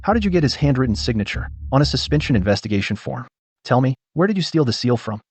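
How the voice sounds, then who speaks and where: A man's voice: mid-range voice, big pitch swings, quick pace, a little mellow, a little hiss or hum. A man playing a scheming, bullying middle-aged company manager in a melodrama, scornful and blustering. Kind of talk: dubbed melodrama acting.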